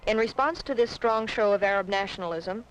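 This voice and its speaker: A woman's voice: medium pitch, brisk, a little hiss or hum, rough recording. A woman reading scripted political narration on a 1970s documentary film soundtrack.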